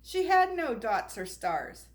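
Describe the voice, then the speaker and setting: A woman reading a children's storybook aloud in an expressive, unhurried way. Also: a little high. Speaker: storybook reader.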